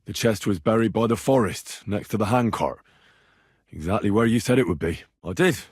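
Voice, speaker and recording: A man's voice: fairly low pitch, moderate pace, breathy edge, no echo, studio quality. A British man acting a plain-spoken young medieval servant and adventurer in a video game, earnest and conversational. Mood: matter-of-fact. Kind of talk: scripted game character dialogue.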